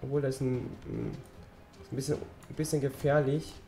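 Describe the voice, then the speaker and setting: A teenage boy talks his way through a game, rambling and chatty, his voice slightly hoarse from a cold. His tone is mellow and dark, and faint music plays behind him. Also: mid-range voice, flat delivery, normal speed. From German-speaking Europe. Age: teens. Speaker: gaming channel host. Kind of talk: casual let's-play commentary.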